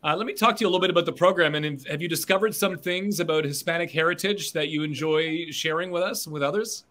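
A man's voice: a little high, fast, sharp tone. A middle-aged man interviewing students over a video call in an upbeat, encouraging way. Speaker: interviewer host. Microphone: webcam on a video call.

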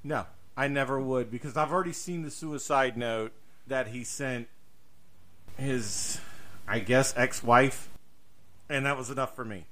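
Bright, forceful man podcasting. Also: medium pitch, a little slow. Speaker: podcast host. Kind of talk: casual podcast conversation.

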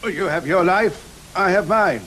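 An actor in a studio drama playing a proud, searching middle-aged author with a clipped, formal, old-fashioned gentlemanly manner and a gravelly voice. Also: male voice, sits high, normal speed, a little hiss or hum, rough recording. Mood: brisk, dismissive. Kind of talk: studio radio drama acting.

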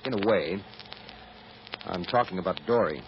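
A man's voice: low voice, normal speed. An actor playing a shrewd, self-assured male lawyer, wry and probing, in vintage crime drama style. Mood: pointed, probing. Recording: muffled, noisy vintage drama recording.

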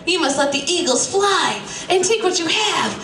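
A woman's voice: extremely high pitch, lively intonation, normal speed, voice pushed up. A woman voicing a solemn, wise female spiritual teacher with a ponderous, mock-mystical delivery. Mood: preachy. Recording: distant echoey room mic, loud background noise.

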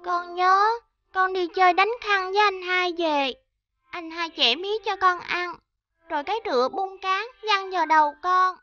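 A performer voicing an innocent, confused little girl in an animated fairy tale. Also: very high voice, moderate pace, muffled recording. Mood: confused, weak.

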